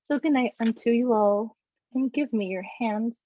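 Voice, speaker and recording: A woman's voice: on the high side, moderate pace, mellow, natural voice. A woman performing a mischievous but honest sprite in a recited Shakespeare verse monologue, bidding the audience goodnight. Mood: measured, apologetic. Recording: roomy echo, dull lo-fi audio.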